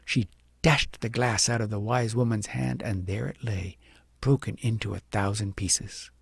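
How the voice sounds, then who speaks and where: Very gravelly, husky male storyteller. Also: fairly low pitch, fairly even intonation, moderate pace, no room sound, clean studio sound. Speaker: audiobook narrator. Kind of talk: expressive storybook narration.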